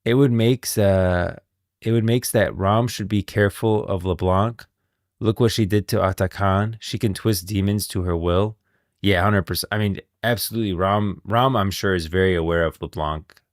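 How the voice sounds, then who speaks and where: A man with a low voice talks as a game streamer giving live lore commentary. His delivery is casual and rambling, often repeating itself as he thinks aloud. The sound is dry and free of room echo. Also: normal speed.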